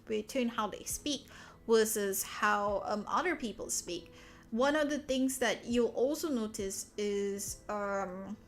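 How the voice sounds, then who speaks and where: Malaysian woman streamer, a touch high, loose rambling chat full of fillers. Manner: rambling, many fillers and restarts. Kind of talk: casual chat.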